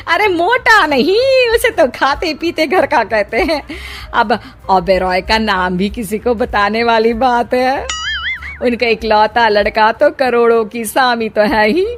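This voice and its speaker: A woman voicing a smug, gossipy middle-aged aunt in a cartoon story, gushing and boasting in a drawn-out way. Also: fairly high pitch, expressive, faint music behind.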